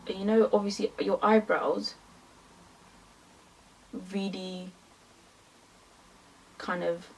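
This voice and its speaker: A woman's voice: mid-range voice, fairly even intonation, slow pace, long pauses, darkish tone, distant mic, rough recording. Chatty young London woman, off-mic. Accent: London English. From England. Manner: casual, chatty, many fillers. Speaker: beauty vlogger. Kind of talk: makeup tutorial chat.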